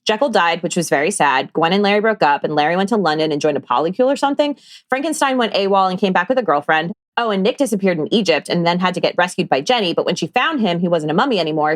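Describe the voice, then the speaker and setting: Woman playing a fast-talking, earnest amateur ghost hunter. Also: fairly low pitch, no room sound, studio quality. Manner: rambling, breezy, conversational. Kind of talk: audio drama table read.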